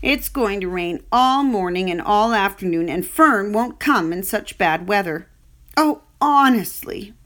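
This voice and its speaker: A woman's voice: mid-range voice, animated, unhurried, hardly any pauses, a bit bright. A woman voicing a lonely young male pig who pleads earnestly, in a storybook reading. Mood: pleading.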